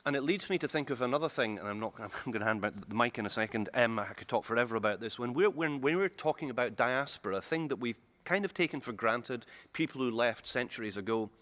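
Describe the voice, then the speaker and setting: Bright-toned man, German as his first language. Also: mid-range voice, animated, fast, hardly any pauses, dry recording. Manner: personal and heartfelt, with repeated restarts. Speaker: panel speaker. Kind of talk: conference panel remarks. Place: conference hall.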